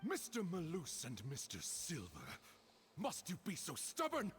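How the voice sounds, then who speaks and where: Game role: eerie, self-righteous mouthpiece of a ghostly mob, high voice with swooping intonation. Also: male voice, huge pitch swings, measured pace, soft background music. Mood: stern. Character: sanctimonious, eerie spokesman of a ghostly collective.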